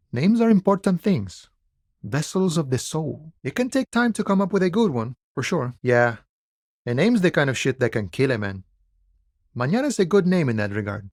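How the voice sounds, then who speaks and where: A man's voice: fairly high pitch, no echo, clean studio sound. A male actor playing a laid-back, philosophical harbor worker on strike in a video game, speaking in an easygoing, wry, musing way. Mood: musing, friendly. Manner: easygoing, wry, musing, unhurried folksy phrasing. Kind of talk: video game dialogue acting.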